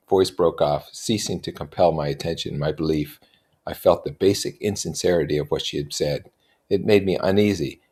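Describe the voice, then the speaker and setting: A man reading a literary novel aloud as an expressive narrator and commentator. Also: deep voice, breathy edge. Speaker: reader and commentator.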